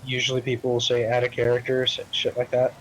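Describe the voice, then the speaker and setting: A man's voice: mid-range voice, completely flat delivery, fast talker, a bit bright. A man chatting loosely and profanely with friends in an online roleplay game. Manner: loose, swearing. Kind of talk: casual gaming voice chat. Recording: faintly noisy game voice chat.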